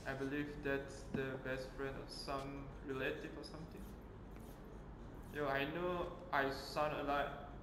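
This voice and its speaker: A man's voice: mid-range voice, level tone, measured pace, background noise. A man with a Malaysian accent giving casual gameplay commentary, thinking aloud in loose English. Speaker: gaming channel host. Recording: roomy echo, game music behind.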